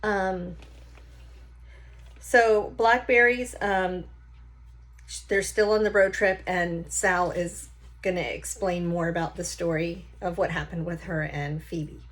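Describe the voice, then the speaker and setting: A woman's voice: fairly low pitch, steady tone, slow. A woman reading a children's novel aloud like a teacher, with short explanatory asides.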